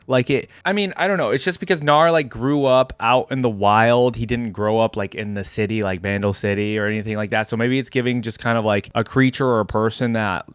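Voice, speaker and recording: A man giving casual, off-the-cuff reaction commentary as a video host, rambling as he thinks out loud. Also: mid-range voice, clean tone, no echo.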